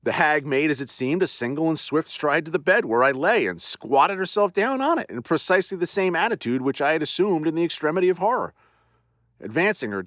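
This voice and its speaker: A man hosting a podcast, giving a solemn, deliberate dramatic reading of a classic ghost story. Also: on the high side, a bit bright, dry recording, clean studio sound. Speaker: podcast host and narrator.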